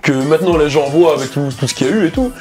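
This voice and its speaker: A young man with a French accent, chatting casually and telling stories in slangy, animated speech. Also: a little high, very fast, over music. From France. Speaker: interview guest. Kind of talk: casual chat, telling anecdotes.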